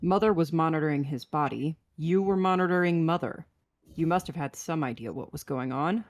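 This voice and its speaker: A man's voice: a little high, brisk, natural voice. A man playing a terse, weary spaceship captain in a sci-fi script table read. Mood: suspicious.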